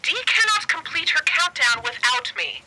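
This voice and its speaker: A woman's voice: high-pitched, very animated, normal speed, sharp tone, exaggerated voice, poor audio. A woman playing a reassuring, affectionate young female character in a comedic sci-fi audio drama.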